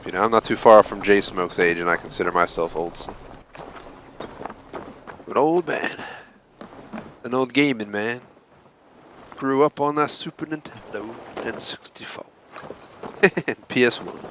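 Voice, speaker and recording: Low-voiced man chatting on a game stream. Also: pushed and bright, slight background noise. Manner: rambling, frequent fillers. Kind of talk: casual live-stream chat while gaming.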